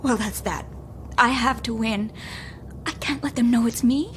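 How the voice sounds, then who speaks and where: Mortified young tourist, played by a woman: high voice, fretful, melodramatic, horror comedy audio drama. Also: measured pace, slight background noise, natural voice. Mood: anxious muttering. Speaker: tourist on a coach.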